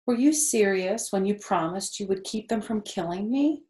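A woman's voice: medium pitch, expressive, moderate pace, warm tone, natural voice. A woman voicing an anxious, eager, childlike young male pig in a children's storybook, asking in a pleading way. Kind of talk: read-aloud children's storybook. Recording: roomy, echoey indoor sound.

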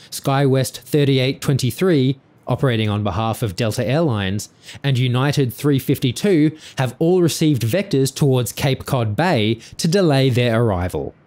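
A man's voice: mid-range voice, a little mellow. Man narrating in scripted explainer style. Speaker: narrator of an aviation incident video.

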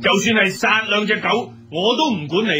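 A man's voice: sits high, lively intonation, moderate pace, loud music behind the voice. A male actor playing a stern, self-important monastery overseer in a Cantonese radio drama, stiff and reproachful.

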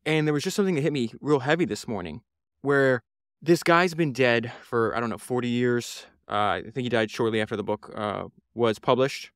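Earnest man, smooth dry voice. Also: mid-range voice, brisk, dry recording. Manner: earnest, rambling, many fillers and restarts. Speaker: podcast host. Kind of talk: solo podcast book review.

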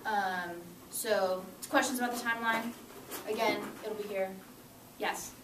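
A woman's voice: mid-range voice, steady tone, a little slow. A young woman in her twenties, a student group co-president, giving a chatty, upbeat, informal talk to students in a lecture room. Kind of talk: casual presentation. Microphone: far camera. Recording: echoey room, far camera, clear room noise.

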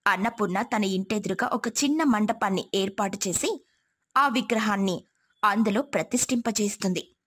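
A woman's voice: mid-range voice, normal speed, fairly bright tone, husky. A woman telling a moral tale as a scripted storyteller.

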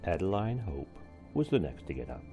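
A man's voice: low voice, sing-song, normal speed, mellow, faint music behind. A man reading a storybook aloud expressively, like a teacher with a class.

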